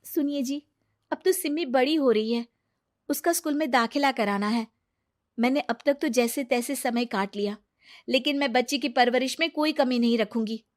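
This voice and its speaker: An earnest, struggling young wife and mother from a cartoon family drama as a woman plays her. Her voice sits high, with an emotional, pleading manner and a determined core. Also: moderate pace, close to an everyday voice. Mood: firm, hurt.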